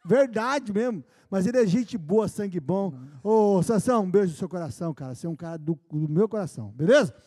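A middle-aged Brazilian man hosting a country-music TV show in a studio, chatting with guests and shouting greetings to viewers in a folksy, exclaiming way. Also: high voice, lively intonation, brisk, few pauses, in a large hall. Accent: Brazilian Portuguese. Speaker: TV show host. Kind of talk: casual studio chat and shout-outs. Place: TV studio set dressed as a country bar. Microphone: handheld mic.

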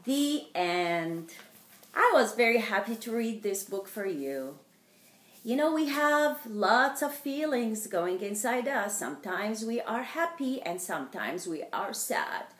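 A middle-aged woman reading a picture book aloud to young children in a theatrical, deliberate way, as a storytime host. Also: on the high side, sing-song, fairly bright tone. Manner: theatrical, slow and deliberate, addressing small children. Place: indoor wood-panelled living room. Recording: roomy, echoing home recording.

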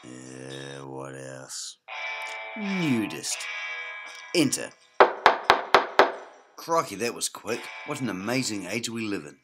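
Deep dry New Zealand detective narrator. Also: male voice, very animated, takes it slowly, pauses often. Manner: dry, hard-boiled detective delivery. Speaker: narrator and lead comic actor. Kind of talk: deadpan comic noir narration. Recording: music playing behind voice. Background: music.

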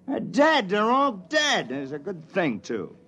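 An actor playing a jittery, scornful spaceman crewmate with a husky voice, in 1950s radio drama style. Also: high voice, wildly swooping pitch, unhurried, pushed and bright, a little hiss or hum, full character voice. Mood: shaken, relieved.

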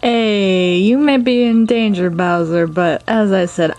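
A woman's voice: medium pitch, a little slow, darkish tone, faint music behind, close to an everyday voice. A woman voicing a smug, sassy cartoon villain in an amateur comic dub. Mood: smug, amused.

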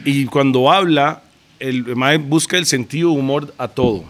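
A man's voice: mid-range voice, normal speed, a bit bright. A man hosting a casual podcast, chatty and slangy, tossing in English words. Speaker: podcast host. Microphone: studio mic with headphones.

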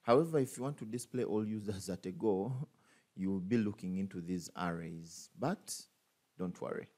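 Low, mellow man talking through code. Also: moderate pace. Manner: thinks aloud, many fillers and restarts. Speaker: programming tutor. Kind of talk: screen-recorded coding tutorial.

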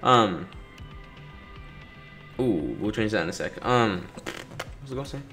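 A man's voice: lowish voice, animated, measured pace, pushed and bright. A man giving casual, joking playthrough commentary, reacting to characters and reading captions aloud as host. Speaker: gaming video host. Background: video game music and sound.

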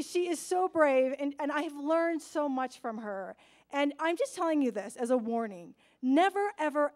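A woman hosts a literary evening from a lectern in a large hall. Her voice sits high, and she introduces the next speaker with playful, joking asides to the audience. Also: a little mellow. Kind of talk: stage introduction. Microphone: lectern microphone seen from a far camera.

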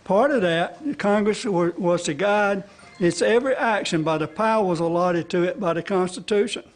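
High-pitched elderly man testifying. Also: moderate pace. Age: older, 70s or above. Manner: folksy, rambling, checks listeners with frequent "okay?". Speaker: citizen activist testifying to a legislative committee. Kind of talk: extemporaneous public testimony. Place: large indoor hearing room with seated audience. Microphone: gooseneck podium microphone.

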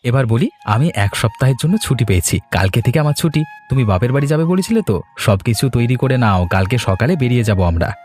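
A man playing a cheerful, easygoing husband in a cartoon fairy tale, with a Bengali accent and a genial, expressive manner. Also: medium pitch, brisk, faint music behind, natural voice. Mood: pleased. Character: cheerful, easygoing Bengali husband who loves village life. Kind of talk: cartoon voice acting, domestic dialogue.

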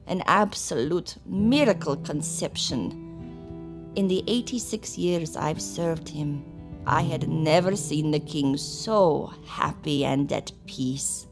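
Woman playing solemn elderly servant aunt, low creaky voice, formal storytelling, gothic audio drama, music behind. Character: solemn old servant aunt to a vampire king.

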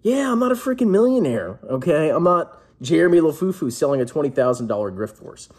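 Casting: male vlog host, thirties, rough-edged husky voice, lively swooping delivery, emphatic joking showman. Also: a little high, brisk. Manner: brash, jokey, emphatic showman. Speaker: personal finance vlogger, host. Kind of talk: casual announcement to camera. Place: small indoor room at home.

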